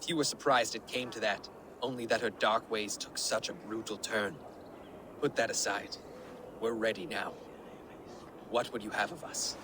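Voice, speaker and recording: A man's voice: lowish voice, steady tone, moderate pace, pauses often, background noise, lightly acted. A man playing a loyal, hardy Danish Viking warrior in a video game, with an earnest, declamatory delivery. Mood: grave, loyal. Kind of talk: dramatic video game acting.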